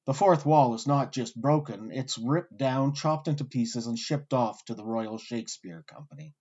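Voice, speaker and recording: A man hosting with scripted documentary narration, delivered in a wry, articulate storyteller's way with deliberate emphasis. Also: mid-range voice, lively intonation, darkish tone, highs cut off. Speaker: host and narrator.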